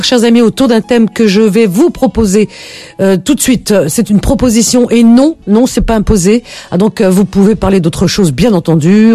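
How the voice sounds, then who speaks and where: A woman's voice: medium pitch, warm tone, dry recording. A woman hosting a late-night call-in radio show, gushing and laughing often as she invites listeners to share their stories.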